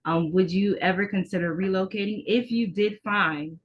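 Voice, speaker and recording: A woman's voice: medium pitch, steady tone, normal speed, a little hiss or hum. A woman from the United States hosting a podcast, interviewing in a casual, conversational way. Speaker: podcast host. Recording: dull, band-limited call-like audio.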